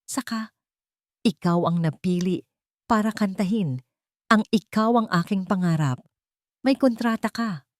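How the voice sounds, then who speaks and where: A woman's voice: medium pitch, unhurried, pauses often, no room sound, studio quality, close to an everyday voice. A woman voicing a worried, outspoken young female friend in an audio drama, anxious and urgent.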